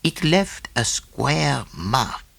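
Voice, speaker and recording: A dapper little Belgian detective with a French-speaking accent. He is pleased with himself and lectures with relish, his pitch leaping about wildly, in a close, dry audiobook sound. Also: very animated, very slow, vocal fry, a bit bright, no room sound, heavily acted. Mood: didactic. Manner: didactic, punctuated with "eh?" and French phrases. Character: small fussy Belgian detective, self-satisfied, theatrical, tranquil.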